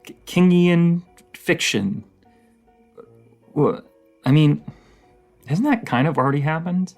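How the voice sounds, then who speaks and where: A man playing a dorky, excitable partner who rambles like a horror-movie nerd in a casual, intimate roleplay, stammering and halting with enthusiasm. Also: fairly high pitch, faint music behind. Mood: excited, teasing.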